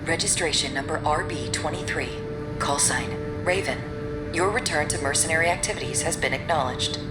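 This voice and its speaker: A woman voicing a calm, formal AI support system in a game, impersonal, polite and precise. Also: low-pitched, normal speed, reverberant, loud music behind the voice, natural voice.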